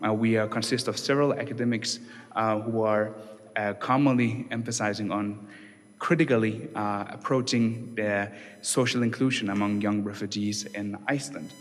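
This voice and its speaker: A man's voice: fairly low pitch, moderate pace. Young Danish-born male researcher, chatty conference talk, church-hall reverb. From Denmark. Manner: relaxed, conversational, many fillers and restarts. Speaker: doctoral researcher giving a talk. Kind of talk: conference presentation.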